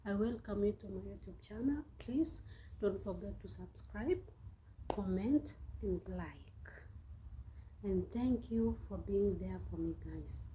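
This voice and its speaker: A woman's voice: medium pitch, takes it slowly, warm tone, a little hiss or hum, low-quality recording. A young woman from Kenya chatting casually to her vlog viewers, repeating herself and laughing now and then while she sweats in the heat. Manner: chatty, repeats and restarts phrases, laughs often. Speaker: vlogger. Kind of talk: casual vlog talk to camera. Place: hot room in the Gulf.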